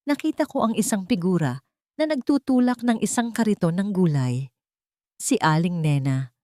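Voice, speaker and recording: A woman's voice: on the low side, a little mellow, no echo, clean studio sound. A woman reading a dramatic first-person story as an audiobook narrator, in an emotional, heartfelt way.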